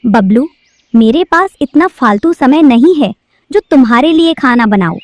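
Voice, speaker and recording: A woman's voice: high voice, expressive, a little slow, dry recording, studio quality. A woman voicing a bossy, greedy female crow teacher in a cartoon, with a scolding manner. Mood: snapping. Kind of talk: cartoon voice acting.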